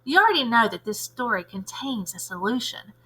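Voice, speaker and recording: Teacher read-aloud: woman, fairly high bright voice, children's storybook narration. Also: sing-song, fairly quick, husky, low-quality recording.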